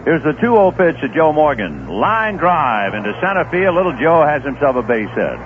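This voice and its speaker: Man calling baseball with lively, storytelling delivery. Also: normal speed. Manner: relaxed storyteller who drifts into anecdotes between pitches. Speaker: play-by-play announcer. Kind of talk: live baseball radio commentary. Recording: tinny lo-fi 1970s radio broadcast with faint hiss.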